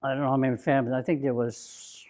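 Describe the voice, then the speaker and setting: A man in his nineties from Bainbridge Island, Washington, telling his own memories in an oral history interview, slow and reflective, halting with fillers and restarts. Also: mid-range voice, level tone, a little mellow. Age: 90s. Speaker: interviewee, WWII-era Japanese American. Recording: interview recording.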